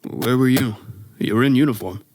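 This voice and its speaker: Male actor as weary invasion survivor, radio drama, middle pitch, earnest, hesitant, questioning. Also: fairly quick, darkish tone, lightly acted.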